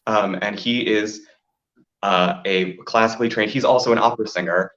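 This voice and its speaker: A man with a deep voice telling stories casually, as an interview guest who performs in drag. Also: steady tone, normal speed, fairly bright tone. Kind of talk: casual interview chat, storytelling. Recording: dull, lo-fi audio.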